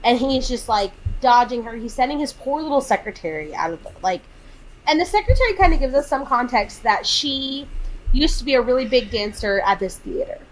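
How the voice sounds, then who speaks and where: A thirty-year-old woman co-hosting a podcast, recapping a film in casual chat, animated and exasperated as she acts out quotes. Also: on the high side, a little fast, slight background noise, rough recording. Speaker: podcast co-host.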